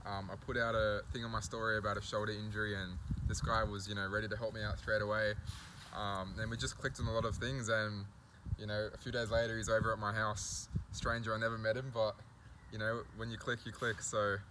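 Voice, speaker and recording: Young male host, low-pitched, bright tone, easygoing, faint ambient noise. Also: brisk, hardly any pauses. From Australia. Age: young adult. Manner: relaxed, lots of "like" and "you know". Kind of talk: casual chat.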